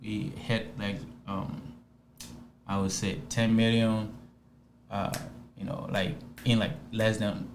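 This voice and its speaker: Man from Liberia as a podcast guest, halting with ums, recorded in a roomy space. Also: lowish voice, little pitch movement, slight background noise. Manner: hesitant, many ums and restarts. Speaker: interview guest. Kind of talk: casual interview chat.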